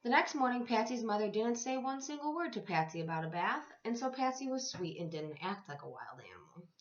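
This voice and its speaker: A woman's voice: fairly high pitch, very animated, a little fast. Woman reading a children's story, echoey room. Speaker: teacher reading aloud to children. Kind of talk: read-aloud storytelling for young children. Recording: echoey room, slightly dull sound.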